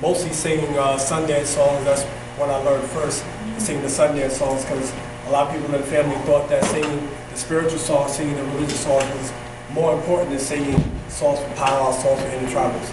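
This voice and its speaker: A man with a somewhat high voice speaks as a drum singer, reflective and hesitant, with fillers. He is picked up from a distance in an echoey room. Steady background noise sits under him. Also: a little fast. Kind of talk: spoken self-introduction.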